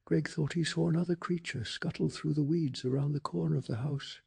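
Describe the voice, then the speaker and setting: A man narrating a bedtime story in a soft-spoken ASMR style, calm, hushed and deliberate. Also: fairly high pitch, gravelly, slightly rough.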